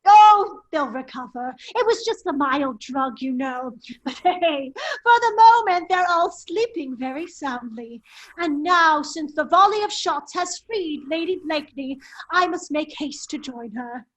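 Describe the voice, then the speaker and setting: A woman playing a foppish, teasing English gentleman hero in a theatrical radio play read, affectedly polite and giggly. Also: high-pitched, lively intonation, measured pace, low-quality recording. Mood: gleeful mockery.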